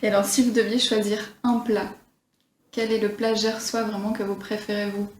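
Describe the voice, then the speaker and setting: A woman's voice: mid-range voice, flat delivery, normal speed. A woman from northern France working as an interviewer, putting prepared questions to her guest. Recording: distant mic, echoey room, faint noise.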